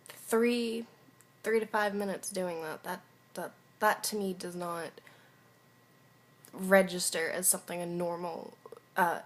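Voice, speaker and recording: Young woman venting in her video diary, slow halting talk, lowish voice, sometimes goes quiet and whispery. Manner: frank and confessional.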